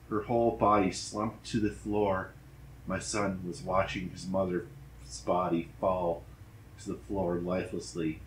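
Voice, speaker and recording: Man, deep voice, grave horror narration, deliberate delivery, echoey room with some noise. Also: fairly bright tone. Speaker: story reader. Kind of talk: dramatic horror story narration. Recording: roomy echo, background noise.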